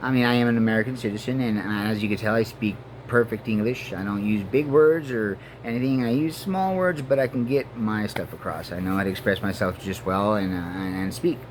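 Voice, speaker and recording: A man in his forties from Mexico and Colorado, a native Spanish speaker, talking in a halting, earnest personal monologue full of fillers and restarts. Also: lowish voice, a little hiss or hum, poor audio. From Mexico and Denver, Colorado. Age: 40s. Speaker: vlogger. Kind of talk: rambling personal monologue to camera.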